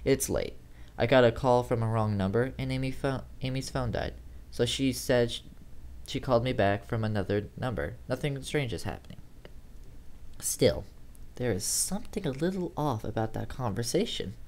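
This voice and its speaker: Amateur horror narrator, medium-pitched voice, dramatic first-person storytelling. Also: normal speed, a little mellow, slight background noise.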